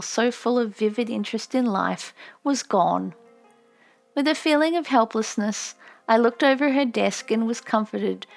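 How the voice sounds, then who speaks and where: A woman reading an audiobook in an expressive, gentle, measured storytelling style, with music behind her. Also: a little high, creaky. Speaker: amateur audiobook narrator. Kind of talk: expressive scripted audiobook narration.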